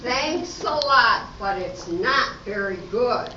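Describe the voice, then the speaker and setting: A woman playing a fussy, excitable diner in an amateur radio comedy play, with exaggerated theatrical delivery. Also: on the high side, slow pace. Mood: indignant. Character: fussy, excitable woman diner complaining about her food. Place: live stage at an amateur arts festival. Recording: echoey hall from far off, muffled, noisy.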